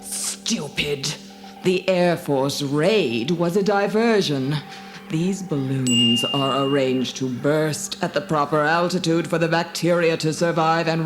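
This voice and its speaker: A woman playing a cruel, arrogant alien commander in a dramatised storybook, imperious and scornful. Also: low voice. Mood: angry. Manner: imperious, gloating, deliberate. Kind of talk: dramatised storybook audio acting. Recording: music behind the voice.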